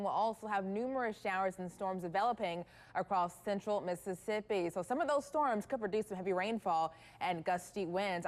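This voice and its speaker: A female meteorologist with an American accent presents a weather forecast for morning television. Her voice sits at an average pitch for a woman.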